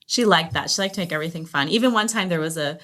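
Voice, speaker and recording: A woman's voice: fairly low pitch, very fast, crowd noise. A woman telling personal stories casually and animatedly as a podcast guest. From Los Angeles area, United States. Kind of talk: casual conversational storytelling.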